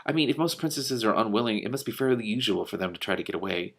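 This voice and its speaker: A man's voice: lowish voice, animated, quick pace, a bit bright. A man reading a storybook as a sensible, forthright young princess who asks direct questions with a touch of exasperation. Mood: objecting. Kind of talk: storybook read-aloud.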